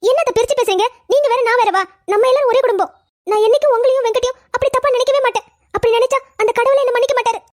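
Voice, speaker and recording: A woman's voice: very high-pitched, racing. A woman playing a devoted young daughter-in-law, speaking earnestly and pleadingly in a melodramatic drama serial. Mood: earnest, reassuring.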